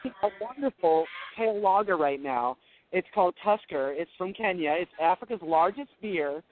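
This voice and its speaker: A thin phone line brings in a flamboyant, high-pitched male caller, put on by a man for radio comedy. He gushes and boasts like a pampered globetrotter. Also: lively intonation, moderate pace. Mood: breezy, enthusiastic. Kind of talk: comedy call-in bit. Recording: quiet tinny phone line.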